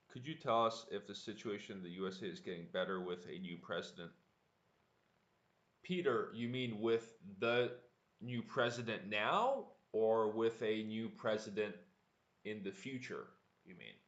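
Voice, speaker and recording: A man teaching English on a livestream, speaking deliberately and repeating words for learners. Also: fairly low pitch, fairly bright tone, muffled recording. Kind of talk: live online language lesson, answering viewer chat. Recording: echoey room.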